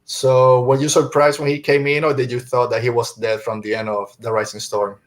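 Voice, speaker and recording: A man's voice: medium pitch, flat delivery, moderate pace. A man hosting a podcast, talking casually through a review and often rephrasing mid-sentence. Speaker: podcast host.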